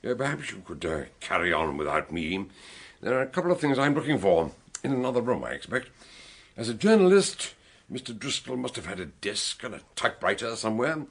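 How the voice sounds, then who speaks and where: A man acting a shrewd, genial gentleman detective with a British accent in a radio drama, musing and courteous, a little theatrical. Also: medium pitch, sing-song, a little fast, husky. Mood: courteous, sly.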